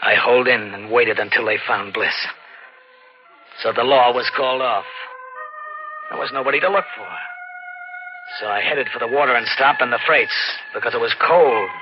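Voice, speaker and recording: An American actor playing a desperate hunted drifter turned killer in a 1940s radio thriller, slangy and intense. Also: male voice, medium pitch, moderate pace, pauses often, over music, close to an everyday voice. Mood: grim narrating. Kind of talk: 1940s radio thriller acting, first-person narration. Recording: dull, very noisy old radio recording.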